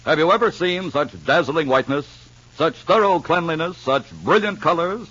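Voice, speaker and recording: A man delivering an emphatic, salesman-like 1950s radio advertising pitch. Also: normal speed, fairly bright tone. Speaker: commercial announcer. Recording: dull vintage radio broadcast.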